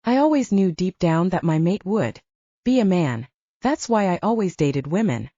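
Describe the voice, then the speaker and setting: A woman voicing a calm, sincere male werewolf with a dry, amused manner in an audiobook. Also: lowish voice, normal speed, no room sound, muffled recording. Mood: wry, sincere.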